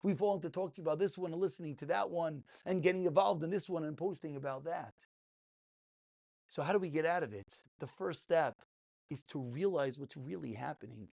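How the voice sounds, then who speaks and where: A man's voice: fairly high pitch, a little fast, gravelly, darkish tone. A man giving a passionate, informal religious lesson as a rabbi, emphatic, full of rhetorical questions and repeated phrases.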